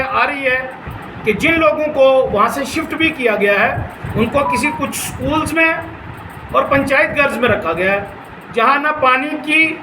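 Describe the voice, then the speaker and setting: A man making an earnest, deliberate press conference appeal in broken phrases, mixing in English terms. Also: very high voice. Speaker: forum leader addressing a press conference. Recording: echoey room with background noise.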